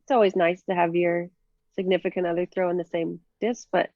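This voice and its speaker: A woman's voice: lowish voice, flat delivery, brisk, darkish tone, no echo, highs cut off. A woman from Minnesota with a Minnesota accent, chatting casually in an interview with many fillers and easy laughs. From Minnesota, USA. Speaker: professional disc golfer, guest.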